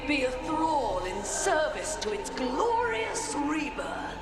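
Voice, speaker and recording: Imperious, echoing sorceress villain. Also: female voice, high-pitched, big pitch swings, exaggerated voice. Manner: grandiose, commanding, deliberate. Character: cruel, imperious woman blood-mage cult villain. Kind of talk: video game villain acting. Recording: echoing, distant, loud music behind.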